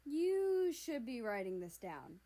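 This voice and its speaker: A woman's voice: on the high side, big pitch swings, takes it slowly, dark tone, voice pushed up. A woman voicing a rude, teasing, sarcastic older brother in a story, rambling along. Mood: excited teasing.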